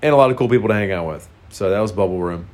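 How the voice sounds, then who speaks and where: A man's voice: lowish voice, racing, a little mellow. Man, nasal congested voice, casual storytelling, rambling, frank, lots of fillers.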